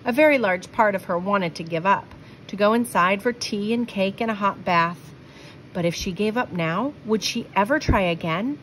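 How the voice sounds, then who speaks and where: Female storybook reader, mid-range voice, expressive and deliberate read-aloud delivery, light background noise. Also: medium pitch, poor audio. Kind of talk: children's picture book read-aloud with asides.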